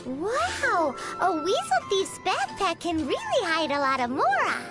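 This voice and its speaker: A tiny, gluttonous fairy-like girl companion from a video game. The voice is extremely high and squeaky, with an animated melody and an unhurried pace. It is childlike and exclamatory. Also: exaggerated voice. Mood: excited. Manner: speaks of herself in third person, exclamatory. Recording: music behind the voice.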